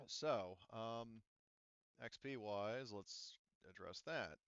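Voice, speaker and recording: A man's voice: mid-range voice, lively intonation, very slow, muffled recording, very noisy. A man running a tabletop game as game master, explaining rules patiently with many fillers.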